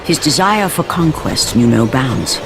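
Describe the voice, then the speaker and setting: A woman playing the portentous narrator of a Greek tragedy in a video game, with deliberate dramatic delivery. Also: very deep voice, big pitch swings, vocal fry, noisy background, heavily acted. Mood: grave, portentous. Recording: music behind the voice.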